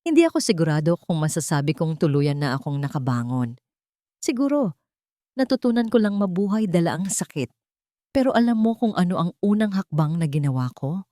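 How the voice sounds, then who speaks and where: A woman's voice: on the low side, big pitch swings, fairly quick, warm tone, no room sound, clean studio sound. A woman voicing an earnest, guilt-ridden man making a sorrowful confession in an audiobook. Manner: sorrowful, sincere.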